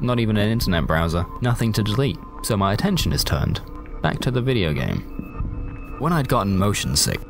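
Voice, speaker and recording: A British man tells a first-person comic story in a low voice. His delivery is wry and understated, and loud music plays behind him throughout.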